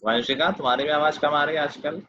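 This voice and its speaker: A man's voice: fairly high pitch, steady tone, brisk, bright, forceful tone, a little hiss or hum. A male teacher running an online math class and teasing his students. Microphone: video call. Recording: lo-fi video call.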